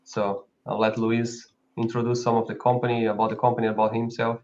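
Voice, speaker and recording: A man's voice: medium pitch, level tone, a little fast, darkish tone, highs cut off. A man from Brazil with a Brazilian accent, speaking as a company founder co-hosting an informal live product talk.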